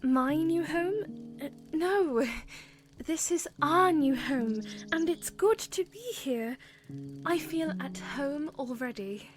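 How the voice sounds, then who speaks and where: A woman plays a young princess in an audio drama, gentle and sincere. Her voice is high and her delivery slow and earnest, with music clearly audible behind her. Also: expressive. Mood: touched, happy. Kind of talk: fan audio drama acting.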